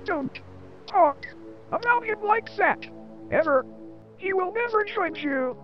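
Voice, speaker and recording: Woman voicing a very high-pitched earnest young cartoon guy. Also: lively intonation, slow pace, heavily acted. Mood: defiant. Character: worried, earnest young male cartoon hero. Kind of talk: amateur comic dub voice acting. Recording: dull lo-fi audio with music.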